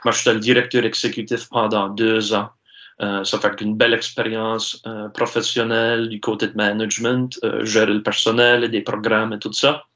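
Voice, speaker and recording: A man in his forties with an Acadian French accent, answering interview questions earnestly, with many filler pauses. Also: lowish voice, level tone, sharp tone. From Baie-Sainte-Marie, Nova Scotia. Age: 40s. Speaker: guest, university sports director. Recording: dull lo-fi sound.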